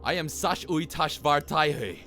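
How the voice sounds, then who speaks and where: A man's voice: fairly high pitch, unhurried, bright, forceful tone, faint music behind. A man playing a loyal, alert alien officer in a science fiction audio drama, with a formal, clipped military delivery. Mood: formal, guarded.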